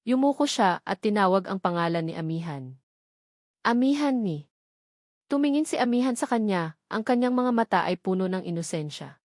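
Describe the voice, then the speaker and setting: A woman's voice: mid-range voice, expressive, fairly quick, darkish tone, no echo, studio quality. A woman with a Filipino accent reading a dramatic scripted love story as its narrator.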